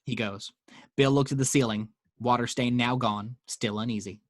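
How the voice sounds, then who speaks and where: A man's voice: medium pitch, fairly even intonation, normal speed, no room sound, studio quality. A man reading action descriptions as narrator in a dramatic screenplay table read. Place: at home.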